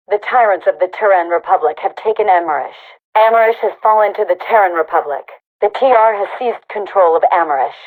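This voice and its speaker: Over a thin, phone-like radio channel comes a female military commander from a sci-fi video game. Her voice sits at a middling pitch. She gives announcements and briefings with crisp, authoritative military discipline. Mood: grave reporting. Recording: narrow phone-like radio sound.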